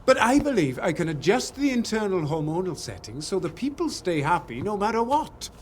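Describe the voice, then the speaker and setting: An actor playing a pompous, irritable, callous scientist in a video game. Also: male voice, high-pitched, big pitch swings, fairly quick, slight background noise. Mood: smug, condescending. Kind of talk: video game character acting.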